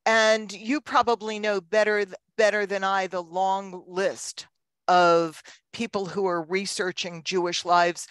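An older woman answering questions as a guest lecturer, explaining thoughtfully and haltingly in an informal talk. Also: medium pitch, flat delivery, fairly bright tone, clean tone, no room sound. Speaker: guest lecturer, genealogy researcher. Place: indoors on a video call. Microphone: headset microphone.

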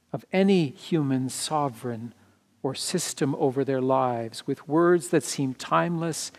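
This is a man in his fifties or sixties with a slightly high-set voice. He preaches from a written text as a pastor would. His phrasing is measured and deliberate, set out piece by piece. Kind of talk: sermon read from a script. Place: indoor church sanctuary, standing before the altar.

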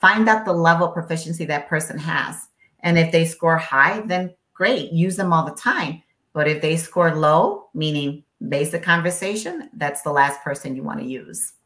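A woman's voice: deep voice, animated, moderate pace, fairly bright tone. A woman whose first language is Spanish, an interpreter, explaining emphatically as a guest on a video call with many rhetorical questions. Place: home office. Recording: echoey room on video call.

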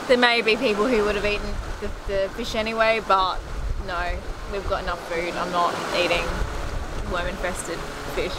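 Woman, thirties, sailing vlogger, chatty and candid, medium pitch, heavy wind-and-water noise from a boat at sea. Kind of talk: casual vlog talk to camera. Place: outdoors in the cockpit of a sailing yacht at sea.

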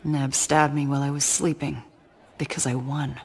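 Haunted game assassin, subdued and husky, dry wit. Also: female voice, extremely low pitch, flat delivery, moderate pace, a little mellow, a faint crowd, heavily acted. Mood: troubled. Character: brooding green-skinned female warrior assassin. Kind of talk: video game acting.

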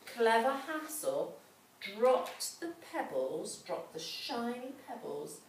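A middle-aged woman reading a story aloud to a child, dramatically, with pauses and questions. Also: fairly high pitch, big pitch swings. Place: large indoor school room with hard floor. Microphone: distant camera microphone. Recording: echoey room, distant mic, background noise.

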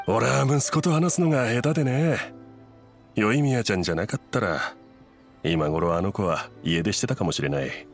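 An actor playing a kindly, easygoing middle-aged merchant in an anime game, speaking politely and expressively. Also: male voice, fairly low pitch, normal speed, faint music behind, lightly acted. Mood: rueful. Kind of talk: Japanese anime game voice acting.

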